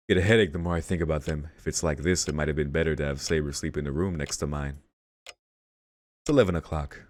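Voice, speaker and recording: A man hosting a let's read, reading visual novel narration aloud and dropping in casual asides. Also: very deep voice. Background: game music.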